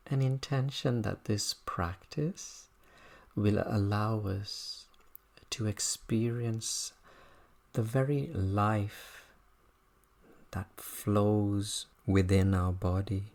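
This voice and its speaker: A meditation teacher guiding a body scan practice in an unhurried, contemplative manner, speaking in short, halting phrases. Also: low voice, gravelly, darkish tone.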